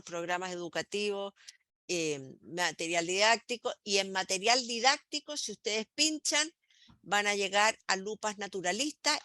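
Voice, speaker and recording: A middle-aged woman guides teachers through a slide presentation. Her voice is bright, and she talks with many "eh" pauses and small restarts. The recording is dry and close, with no room sound. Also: mid-range voice, no room sound. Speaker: host presenting materials to teachers.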